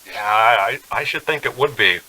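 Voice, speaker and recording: A man's voice: on the low side, moderate pace, sharp tone, breathy edge, exaggerated voice, low-quality recording. An actor playing a haunted, anxious young man driving cross-country, speaking in a tense, hesitant radio drama style. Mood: nervous, halting. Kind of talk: old-time radio drama acting with narration.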